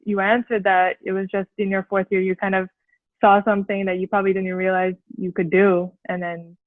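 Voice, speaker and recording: A woman hosts a student interview, first reading an introduction, then asking friendly, loose questions. Her mid-pitched voice comes through a thin, phone-like line. The sound is dry and close. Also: flat delivery, fairly quick, no echo. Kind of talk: casual interview hosting. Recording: dry, tinny phone-like call audio.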